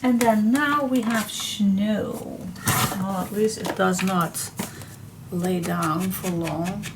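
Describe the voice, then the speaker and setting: A woman with a slightly hoarse, froggy voice talks through a papercraft project at an unhurried, easy pace. She chats loosely and half to herself as she works. A steady hiss of noise sits clearly under the recording. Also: mid-range voice. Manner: chatty, slow and relaxed, talks to herself while she works. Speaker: papercraft tutorial host. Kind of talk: casual crafting tutorial talk.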